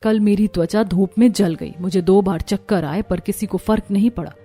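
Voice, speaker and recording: A woman's voice: medium pitch, fairly even intonation, normal speed, warm tone, faint music behind, voice pushed down, close to an everyday voice. A woman voicing an overworked, complaining young daughter-in-law in a cartoon story. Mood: bitter. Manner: complaining, sarcastic. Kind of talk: Hindi cartoon story acting.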